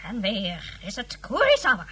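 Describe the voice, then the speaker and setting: A woman's voice: medium pitch, wildly swooping pitch, normal speed, bright, forceful tone, breathy edge, full character voice. An actress playing a bitter, furious female president of a moon colony in a radio play, scathing and sarcastic. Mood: indignant.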